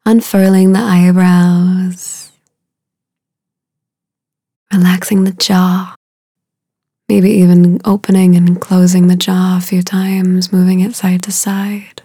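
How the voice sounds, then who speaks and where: A woman leading a guided meditation in a soothing, unhurried way, with a soft, breathy voice and long pauses between short phrases. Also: lowish voice, level tone, no room sound, studio quality.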